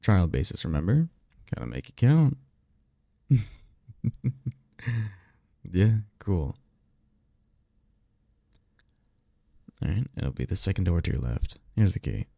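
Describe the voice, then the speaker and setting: A man acts out a cocky vampire mentor for a roleplay audio, speaking in a deep, gravelly voice right up in a dry recording. He is easygoing and teasing, with slangy, conversational phrasing and the offhand confidence of a former archmage. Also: very animated, unhurried, long pauses, mellow, no room sound, heavily acted. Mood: casual, upbeat.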